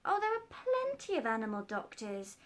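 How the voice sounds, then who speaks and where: Kindly storybook doctor, mild and earnest, lively voice, read by a woman. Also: fairly high pitch, normal speed. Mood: mild, curious. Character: gentle, kindly man, a country doctor.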